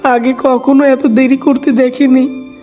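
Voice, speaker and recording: A woman's voice: high voice, flat delivery, measured pace, mellow, soft background music. A woman voicing an anxious, caring mother duck in a cartoon story, with a fretful, motherly manner. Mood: worried.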